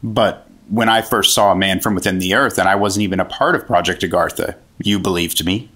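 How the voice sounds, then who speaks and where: Casting: aggrieved American government agent, 1950s radio drama, low rough-edged voice, earnest and questioning. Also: male voice, a little fast, bright, forceful tone, heavily acted. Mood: indignant, defensive.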